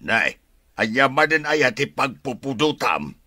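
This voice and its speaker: Exasperated family man, slow bright voice, ilocano radio drama, real dry sound. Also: medium pitch, sing-song, no echo, heavily acted.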